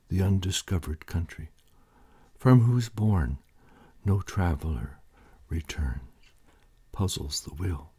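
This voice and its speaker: A man reciting Shakespeare monologues in a slow, theatrical and deliberate way, with weighty pauses. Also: sits low, mellow, husky.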